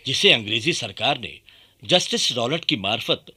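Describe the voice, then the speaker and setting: An actor playing an earnest, indignant Indian patriot under colonial rule, speaking emphatically in a radio drama. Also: male voice, medium pitch, animated, normal speed, bright, forceful tone, slightly rough, full character voice. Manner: emphatic, theatrical.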